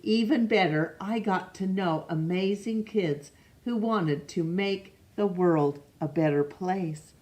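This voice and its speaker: A woman reading a picture book aloud to children, stopping to ask the listener questions and now and then rereading a name. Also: fairly low pitch. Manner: slow, gentle, asks the listener questions; stumbles over names and rereads them. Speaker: storytime reader.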